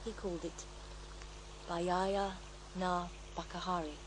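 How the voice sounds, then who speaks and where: Devout woman healer, calm n wistful, radio play, natural delivery, noticeable background noise. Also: lowish voice, slow. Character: serene devout female faith healer, former child therapist, wistful. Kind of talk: naturalistic radio drama acting.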